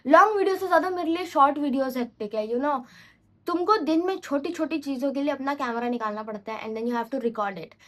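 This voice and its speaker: High-voiced chatty young woman vlogger. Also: brisk. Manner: rambling, chatty, mixes English into Hindi, self-correcting. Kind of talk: casual unscripted vlog talk.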